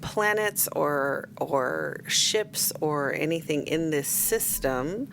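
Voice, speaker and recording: A woman's voice: deep voice, expressive, slow pace, creaky, fairly bright tone, slight background noise. A woman playing a tabletop game and chatting casually. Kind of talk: casual chat.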